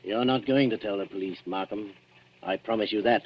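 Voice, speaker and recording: An actor playing an earnest, indignant gentleman in love, blunt and halting, in a 1940s radio thriller. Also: fairly low pitch, lightly acted. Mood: grim resolve. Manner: blunt, halting, stiffly resolute. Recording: muffled 1940s radio recording.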